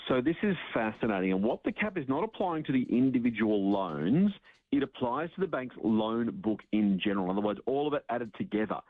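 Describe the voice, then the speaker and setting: A man's voice: medium pitch, very animated, fairly quick, dry recording. A man with an Australian accent giving informal, explanatory finance commentary as a radio guest, using examples and asides. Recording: narrow tinny phone-like line.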